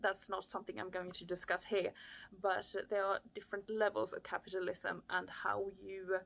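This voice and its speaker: A woman's voice: mid-range voice, level tone, moderate pace, gravelly, a bit bright. A woman in her thirties from Chile, talking about her life in a reflective way, with many fillers, in a living room. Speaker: vlogger. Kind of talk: casual personal talk to camera. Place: living room with fireplace, indoors. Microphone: camera on a desk at close range.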